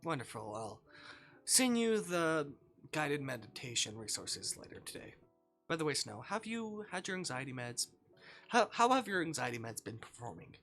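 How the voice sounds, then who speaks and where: An attentive game therapist, played by an androgynous streamer, talks in measured, instructive phrases. The delivery is professional and drawn out, with faint music behind. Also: medium pitch, big pitch swings, fairly bright tone.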